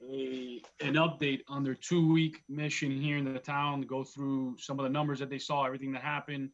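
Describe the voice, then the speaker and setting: A man's voice: medium pitch, level tone, normal speed, highs cut off. Man chairing a town meeting. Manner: appreciative, moves the meeting along. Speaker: mayor chairing a council meeting.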